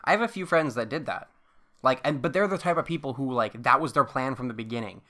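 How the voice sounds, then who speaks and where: A man's voice: medium pitch, fast talker, sharp tone. A man in his early twenties chatting casually as a podcast co-host, informal and often circling back over his own words. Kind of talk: casual rambling chat.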